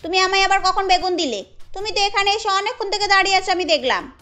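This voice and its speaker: A woman voicing a scheming, sharp-tongued female vegetable seller in a cartoon, accusing and scolding. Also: very high voice, fast, voice pushed up. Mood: indignant.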